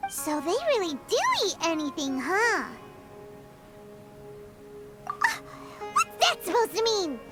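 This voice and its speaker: Tiny fairy game companion, extremely high voice, hugely animated, childlike, excitable, prominent music behind. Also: female voice, slow, pauses often, exaggerated voice. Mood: curious. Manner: talks about self in third person, exclamatory. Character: tiny childlike floating fairy companion, excitable, food-loving, third-person talker. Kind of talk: cartoonish video game voice acting.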